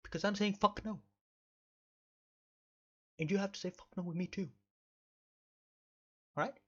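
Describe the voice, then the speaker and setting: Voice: mid-range voice, steady tone, unhurried, a bit bright, husky. Mock-stern game streamer, sex unclear, muffled lo-fi sound. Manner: mock-stern, emphatic, repeats phrases. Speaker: video game streamer and host. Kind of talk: rambling let's play commentary.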